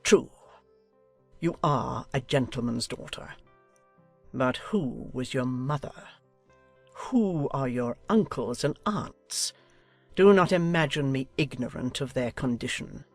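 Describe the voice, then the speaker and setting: A woman's voice: rumbling low, expressive, slow pace, breathy edge, no echo, faint music behind, heavily acted. A woman playing a haughty, domineering older noblewoman in a dramatized audiobook, speaking with imperious, declamatory emphasis. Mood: haughty, indignant.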